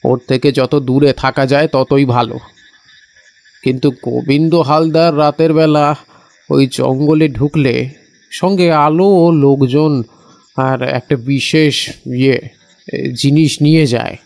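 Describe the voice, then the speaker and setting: A man's voice: mid-range voice, fairly even intonation, measured pace, pauses often, darkish tone, dry recording, natural voice. Man acting as a cautioning, evasive village man, close and dry. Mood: grave warning. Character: evasive, cautioning village man who knows dark local secrets. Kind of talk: Bengali audio horror story acting.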